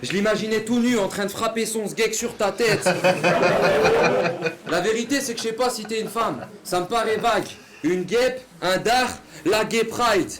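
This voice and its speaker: A man from Saint-Étienne delivering crude, taunting battle-rap punchlines. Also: sits high. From Saint-Étienne, France. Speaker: battle rapper. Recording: noisy event recording from a video.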